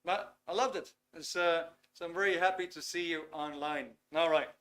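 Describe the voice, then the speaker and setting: A Dutch man with a slightly raised voice, talking loosely and filling pauses with "um" and "uh". He speaks from a small studio, straight to camera. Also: fairly high pitch, fairly even intonation, a bit bright. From Netherlands. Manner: chatty, filler-heavy, reads chat messages aloud. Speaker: webinar host. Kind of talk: live webinar lecture. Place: small warm studio under lights.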